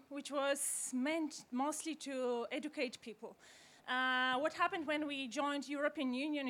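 Woman in the audience, high voice, earnest, says like a lot. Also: fairly bright tone. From Poland. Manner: earnest, searching for words, fillers like "like". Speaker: audience member asking a question. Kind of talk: asking a long question from the audience.

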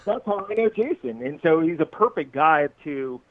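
A man's voice: on the high side, lively intonation, normal speed. A man in his forties from Southern California, a musician guest talking in a rambling, jokey way and laughing often. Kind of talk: casual podcast interview. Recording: tinny, dry phone-like line.

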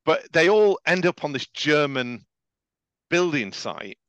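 A man's voice: fairly high pitch, very animated, slow pace, fairly bright tone, clear, resonant tone, no room sound, muffled recording. A middle-aged man co-hosting a podcast in casual conversation, chatty and often tagging his phrases with "right?". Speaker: podcast co-host. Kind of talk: casual chat.

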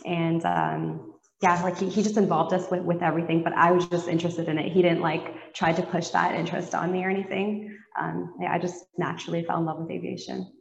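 A woman from Canada answers interview questions haltingly, with plenty of fillers and restarts. Her low voice is flat and gravelly. The audio sounds dull and roomy, with a long echo. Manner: hesitant, many fillers and restarts. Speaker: guest pilot. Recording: dull, echoey remote audio.

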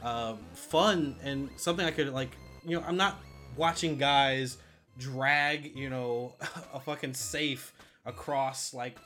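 A man with a bright, forward voice co-hosts a casual film countdown. He is chatty and swears freely. His pitch is ordinary, and light music plays underneath. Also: slow pace. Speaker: podcast co-host.

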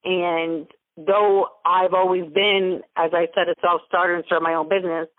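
A woman's voice: on the low side, level tone, moderate pace, no echo. Woman mentor and founder, emphatic motivational speaker, tinny phone-line audio. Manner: emphatic, motivational, stacks repeated phrases. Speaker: guest, mentor and business founder. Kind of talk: interview over a phone line.

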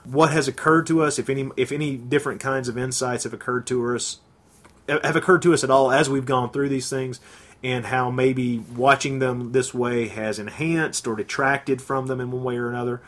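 A man's voice: medium pitch, fairly even intonation, moderate pace, a bit bright. A man hosting a podcast in casual chat, with a bit of sinus congestion, rambling with a self-deprecating manner. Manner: rambling, self-deprecating, many fillers and restarts. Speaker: podcast host.

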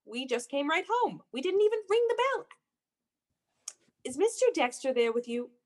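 A woman's voice: sits high, big pitch swings, moderate pace. An actress playing an obsessive, unhinged young woman in a melodramatic radio play, with a theatrical, breathless, intense manner. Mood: shocked, anxious. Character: obsessive, unhinged young woman convinced of her dark power. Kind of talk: melodramatic old-time radio play acting.

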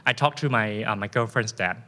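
Thai-accented man, animated storyteller. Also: lowish voice, a little fast. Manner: animated, laughing storyteller with many restarts. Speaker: guest. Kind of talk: casual interview chat.

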